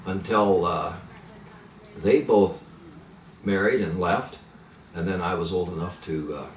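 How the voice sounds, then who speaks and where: Older man, halting and low-voiced. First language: Pennsylvania Dutch. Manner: halting, many fillers and restarts. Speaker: interviewee. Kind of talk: reminiscing in conversation. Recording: echoey room, mic at a distance, faint noise.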